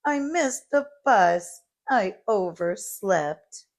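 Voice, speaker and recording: A woman voicing a little excitable piglet from a storybook, oinking and exclaiming plaintively. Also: medium pitch, very slow. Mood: tearful, upset. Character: little distressed, excitable piglet who oinks.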